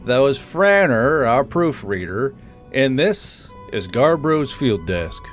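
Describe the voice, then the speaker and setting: Man narrating over soft music. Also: medium pitch, big pitch swings, slow. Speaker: narrator and channel host. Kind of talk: audiobook narration and informal sign-off.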